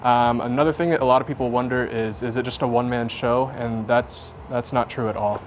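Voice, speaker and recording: A man's voice: medium pitch, fairly even intonation, a little fast. A young American man giving an informal, explanatory tour as an air traffic controller, speaking in a plain, conversational way.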